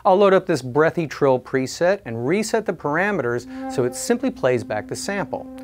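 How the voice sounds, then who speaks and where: A man narrating a scripted product review in a clear, explanatory way. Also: on the high side, very animated, normal speed.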